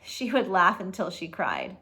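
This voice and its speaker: A woman's voice: fairly low pitch, wildly swooping pitch, measured pace, bright, forceful tone. Woman reading a storybook aloud. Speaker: read-aloud host. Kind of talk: storybook read-aloud with casual asides.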